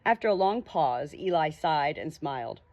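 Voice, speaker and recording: A woman's voice: low voice, lively intonation, moderate pace, a little mellow, muffled recording. A woman narrating an audiobook novel dramatically in the first person.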